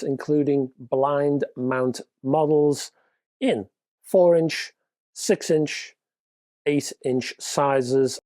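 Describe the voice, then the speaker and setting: A man presenting a casual hi-fi news roundup, chatty with lively asides. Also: medium pitch, no echo.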